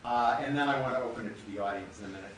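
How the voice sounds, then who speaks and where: A man's voice: medium pitch, rapid-fire. A man moderating a live panel discussion, putting questions to his guests in front of an audience. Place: large room with an audience. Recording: echoey hall, distant mic, noisy.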